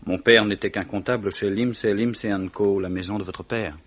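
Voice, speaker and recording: An actor playing a grieving, self-deprecating young gentleman just out of university, subdued and wry, in 1950s French radio drama. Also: lowish voice, fairly even intonation, moderate pace, close to an everyday voice. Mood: stunned grief. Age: young adult. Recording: dull old radio recording.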